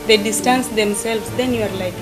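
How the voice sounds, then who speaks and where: A woman's voice: mid-range voice, fairly even intonation, normal speed, music in the background. A woman in a casual group discussion, speaking earnestly and repeating phrases for emphasis. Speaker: discussion participant. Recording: hissy background noise.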